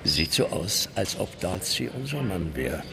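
Radio crime drama: insistent male interrogator, low-pitched, rough, creaky cop voice. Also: very animated, a little slow, full character voice. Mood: probing, insistent. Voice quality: husky, rough. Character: probing, insistent male police detective interrogator.

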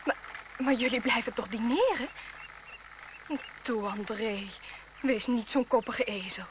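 Woman acting a proud young noblewoman, high voice, muffled. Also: measured pace, close to an everyday voice. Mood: reproachful, pleading. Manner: haughty, theatrical. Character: proud, spirited young noblewoman, haughty yet tender. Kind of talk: Dutch radio play acting. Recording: muffled, noisy old radio play recording.

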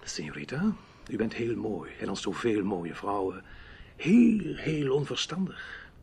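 Sly menacing radio drama gentleman, super swoopy pitch, gravelly, polite but creepy. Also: sing-song, a little hiss or hum, exaggerated voice. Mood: insinuating, condescending. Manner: theatrical, drawn-out, teasing, courteous menace. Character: sly, menacing foreign gentleman lurking in the dark. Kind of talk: Dutch radio drama acting.

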